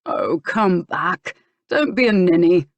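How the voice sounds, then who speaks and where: A woman's voice: lowish voice, expressive, takes it slowly, no echo, highs cut off. A woman voicing a lazy, mocking young male earl who drawls his lines.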